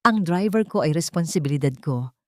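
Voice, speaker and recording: A woman's voice: low-pitched, expressive, a little fast, darkish tone, dry recording. Narrator lady as cold rich boss woman, clipped and firm, romance audio story, dry sound.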